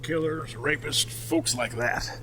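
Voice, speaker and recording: A man's voice: fairly high pitch, takes it slowly, sharp tone. Man acting a leering, bullying militia captain, noisy recording. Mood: casual, callous. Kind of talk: audio drama script reading.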